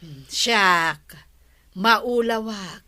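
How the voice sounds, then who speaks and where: A woman's voice: mid-range voice, drawn-out words, sharp tone. A woman playing a nagging, exasperated wife in a comic radio drama, scolding with an Ilocano accent. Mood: nagging, weary.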